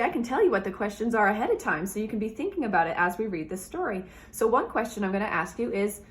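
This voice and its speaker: Animated woman teacher reading to children. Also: mid-range voice. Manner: addresses young listeners directly, animated. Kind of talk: children's read-aloud with comments and questions.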